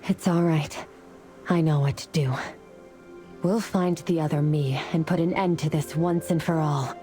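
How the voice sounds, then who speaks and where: A woman's voice: sits low, steady tone, measured pace, over music. A woman playing a noble, resolute celestial being in a game story, speaking formally and with composure. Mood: solemn resolve. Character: noble, solemn, resolute female celestial warrior. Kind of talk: scripted game voice acting.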